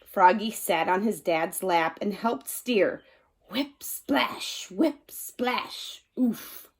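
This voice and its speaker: A woman's voice: medium pitch, lively intonation, drawn-out words. A woman storyteller reading a children's picture book aloud expressively, acting out the sound words with drama.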